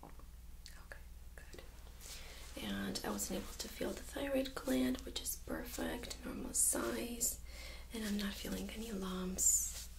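Hushed young woman with creaky, whispery voice. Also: mid-range voice, huge pitch swings, takes it slowly, pauses often, low-quality recording. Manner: hushed, gentle, often whispery. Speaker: host playing a medical examiner. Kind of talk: soft-spoken ASMR medical role-play. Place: small indoor room set up as exam room.

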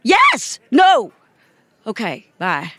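A fed-up, freshly jilted woman in an audio drama. Her voice is highish and animated, with slow delivery. It is recorded close and dry. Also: dry recording, exaggerated voice. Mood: alarmed.

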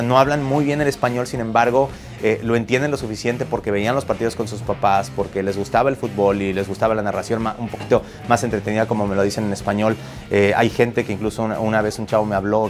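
A man in his thirties with a Mexican accent, a hockey broadcaster chatting enthusiastically in an interview in a locker room. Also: on the low side. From Mexico City. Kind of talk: interview answers.

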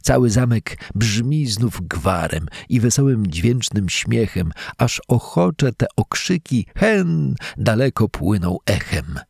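A man reading a fairy tale in verse aloud, as a measured, dramatic storyteller. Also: lowish voice, sing-song, creaky, a little mellow, slightly rough, no room sound, studio quality. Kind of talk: expressive scripted fairy-tale narration in verse.

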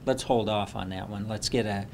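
A man's voice: steady tone, normal speed, creaky. A middle-aged man giving an unscripted interview answer indoors. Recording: low rumbling background noise.

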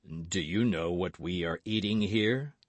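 A man voicing a grave, dry-witted gentleman musketeer in a measured, understated way for a storybook reading. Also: deep voice, dry recording, pitched below the performer's own voice.

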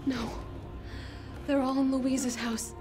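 A scared young villager in a horror game. Her voice is extremely high, anxious and pleading, with music underneath. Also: level tone, takes it slowly. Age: young adult.

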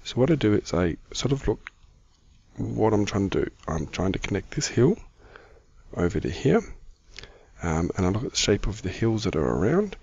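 A middle-aged man with a croaky voice, giving casual, easygoing gaming commentary as the host of a video. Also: lowish voice, sing-song, moderate pace, a little mellow, muffled recording. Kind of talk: casual Minecraft let's-play commentary.

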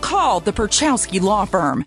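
A woman's voice: mid-range voice, unhurried, faint music behind. A woman announcer reading a scripted ad in a polished voice. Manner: polished, lively delivery. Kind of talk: scripted ad read.

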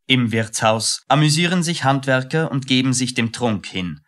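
A man's voice: mid-range voice, normal speed, fairly bright tone. A man reading scripted narration for a radio play.

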